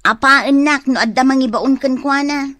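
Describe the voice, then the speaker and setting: A woman's voice: high-pitched, normal speed, pushed and bright. A woman playing a nagging, exasperated wife who scolds and complains in a comedy radio drama. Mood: pleading.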